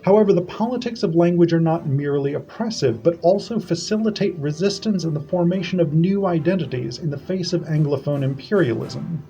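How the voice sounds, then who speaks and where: A man presenting an academic conference paper, reading it in a measured and formal way. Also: on the high side, vocal fry, warm tone. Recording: dull lo-fi audio.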